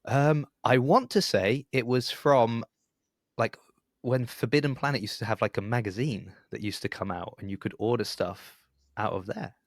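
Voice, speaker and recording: A man's voice: mid-range voice, moderate pace, no echo, clean studio sound. A middle-aged man hosting a podcast in a casual, chatty interview style. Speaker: podcast host.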